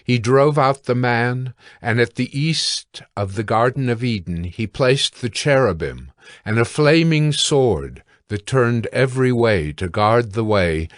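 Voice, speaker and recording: A man narrating scripture from a script in a measured, solemn way. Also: mid-range voice, lively intonation, no room sound, clean studio sound.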